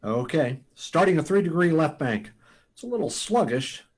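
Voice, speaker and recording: A man playing a daring, steady test pilot given to excitement, in radio drama style. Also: on the high side, normal speed, slightly rough. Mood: focused, reporting.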